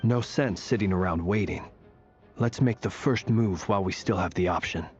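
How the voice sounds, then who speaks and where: A man as a measured, slightly deep-voiced game fighter. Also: natural voice. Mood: calm, businesslike. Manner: measured, formal. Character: composed, courteous, coolly decisive male fighter. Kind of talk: video game voice acting. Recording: muffled game audio, faint music.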